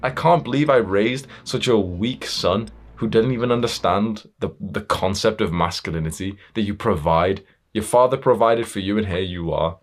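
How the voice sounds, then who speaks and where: A young man giving a blunt, stern motivational talk as a self-improvement coach, emphatic and swearing freely. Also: low-pitched.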